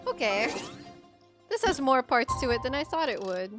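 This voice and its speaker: A woman's voice: high-pitched, sing-song, normal speed. A woman streaming a game and reacting casually to the story as she plays. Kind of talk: casual live playthrough reactions. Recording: faint game music behind. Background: game music and dialogue.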